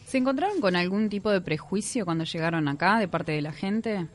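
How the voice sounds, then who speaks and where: A woman's voice: mid-range voice, lively intonation, fairly quick. A woman with a Uruguayan accent co-hosting a radio interview and asking curious questions. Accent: Uruguayan Spanish. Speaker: radio co-host.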